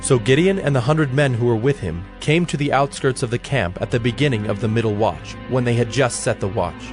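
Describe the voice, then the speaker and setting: A man narrating dramatized scripture in a measured, formal storytelling manner. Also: medium pitch, over music.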